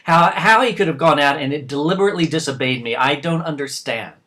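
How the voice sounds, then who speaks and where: A man's voice: fairly high pitch, fairly bright tone, close to an everyday voice. Man playing a stern, defensive middle-aged father. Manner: defensive, halting. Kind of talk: dramatic dialogue acting.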